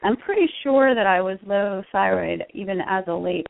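A woman's voice: medium pitch, moderate pace, gravelly, no room sound. A middle-aged American woman from Connecticut speaking conversationally as an interview guest and health coach. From Connecticut, United States. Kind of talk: conversational interview answers, telling own story. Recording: tinny narrow-band phone line.

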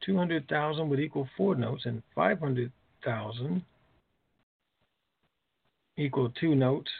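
A man's voice: on the high side, animated, slow pace, vocal fry, husky. A man hosting a conference call, making informal announcements and answering callers over a phone line. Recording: phone-like narrow-band conference call audio.

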